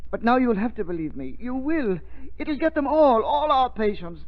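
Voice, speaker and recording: High-pitched, hysterical doctor, old radio. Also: male voice, big pitch swings, moderate pace. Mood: hysterical despair. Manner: frantic, repetitive, melodramatic. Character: panicky, hysterical, superstitious hospital doctor. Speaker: radio actor. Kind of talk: 1930s radio drama acting. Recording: tinny, noisy 1930s radio recording.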